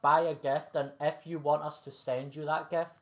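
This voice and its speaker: A man's voice: on the high side, little pitch movement, normal speed, lo-fi sound. A Scottish man co-hosting a live-streamed quiz, bantering casually with the others and the viewers. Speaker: quiz co-host.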